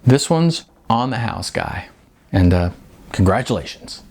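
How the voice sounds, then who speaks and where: A man's voice: on the low side, animated, measured pace, darkish tone. A man voicing a young video store clerk who talks in an offhand, chatty, dismissive way. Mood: embarrassed. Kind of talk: audiobook character voice.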